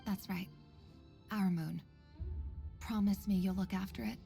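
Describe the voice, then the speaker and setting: A woman playing an earnest, composed researcher in a video game, speaking in a measured, articulate and quietly caring way. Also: mid-range voice, fairly even intonation, creaky, soft background music. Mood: gentle, guarded. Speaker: voice actor in a game story quest. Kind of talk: scripted game dialogue and log narration.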